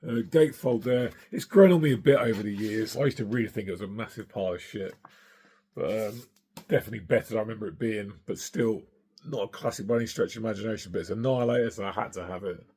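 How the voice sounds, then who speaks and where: A middle-aged man with a hoarse, husky voice from singing, chatting casually and enthusiastically about records he has collected, with plenty of ums and uhs. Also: on the low side, fast, warm tone. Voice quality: gravelly, husky. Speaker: vinyl collector vlogger.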